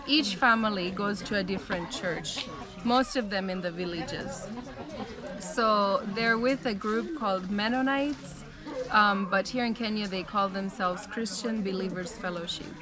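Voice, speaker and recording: A young American woman telling her life story in a relaxed, chatty way, with frequent "um" and "like". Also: medium pitch. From United States. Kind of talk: casual storytelling.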